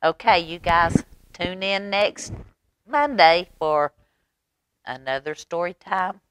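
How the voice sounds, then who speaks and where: A woman with a Southern American accent telling a children's story in an expressive, folksy way, with little asides. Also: lowish voice, noisy background. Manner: expressive, folksy, unhurried, dramatic whispers and asides. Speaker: library story time host.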